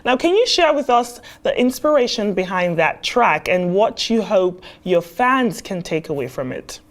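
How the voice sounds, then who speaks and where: A woman hosting a television interview, putting formal, measured questions to a guest. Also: medium pitch, big pitch swings. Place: television studio.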